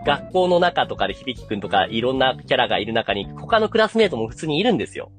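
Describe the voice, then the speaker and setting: A man hosting a casual podcast, explaining a story in an animated way and drawing out key phrases for suspense. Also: mid-range voice, quick pace, faint music behind. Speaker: podcast host explaining an anime.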